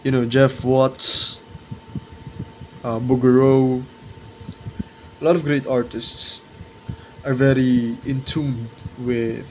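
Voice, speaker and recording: A twenty-year-old man talking casually and reflectively to his viewers in a vlog, halting and correcting himself as he searches for words. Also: mid-range voice, little pitch movement, a little mellow, slight background noise, low-quality recording. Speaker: art video creator.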